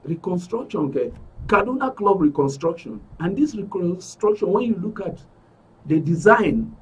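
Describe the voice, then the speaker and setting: A man with a Nigerian accent and a husky voice giving an emphatic account as an interview guest, repeating phrases for stress. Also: high-pitched, sing-song, moderate pace, distant mic, low-quality recording. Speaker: interview guest, club member. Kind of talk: spoken account in a broadcast interview.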